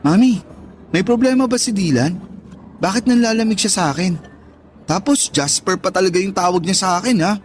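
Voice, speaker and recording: Guy playing clingy sweet young filipino son in a radio drama, high voice, wears his feelings openly, bit of background noise. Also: brisk, natural voice. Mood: hurt. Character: Jasper, affectionate, needy young grown son and big brother. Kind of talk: radio drama dialogue acting.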